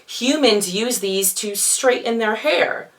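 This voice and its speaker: A woman's voice: a little high, slow pace, fairly bright tone, recorded from a distance, pitched above the performer's own voice. A woman voicing a silly, know-it-all male seagull in a children's storybook. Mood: confident, silly.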